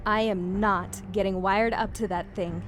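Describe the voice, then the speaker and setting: A woman's voice: medium pitch, steady tone, a little slow, close to an everyday voice. A woman playing a frightened but blunt young captive in a sci-fi audio drama, incredulous and questioning. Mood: uneasy disbelief. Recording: music behind the voice.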